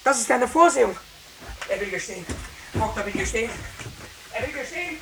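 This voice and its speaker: An actor playing an agitated, fervent official, exclamatory in 1950s German radio drama style. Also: male voice, very high-pitched, animated, brisk, gravelly, background noise, exaggerated voice. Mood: jubilant.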